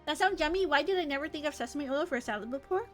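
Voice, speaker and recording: A woman's voice: high-pitched, animated, rapid-fire, a bit bright. A woman livestreaming a game, chatting in an informal, rambling way. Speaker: live streamer playing an online game. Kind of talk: casual livestream chat and reading game dialogue aloud. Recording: music behind the voice. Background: game music.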